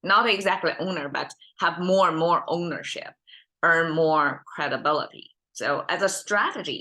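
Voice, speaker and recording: A woman, a Mandarin speaker, hosting a language lesson in casual, thoughtful speech, pausing often with fillers and restarts. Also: low voice, bright, forceful tone. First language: Mandarin Chinese. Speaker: host and language teacher. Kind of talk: casual teaching monologue.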